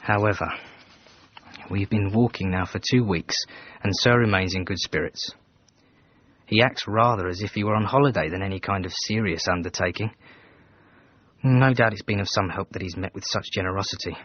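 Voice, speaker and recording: An actor playing a reserved Edwardian manservant in a radio drama, reading out his letters in a formal, measured, dryly disapproving way. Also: sits low. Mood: dry, detached. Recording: dull band-limited radio, faint noise.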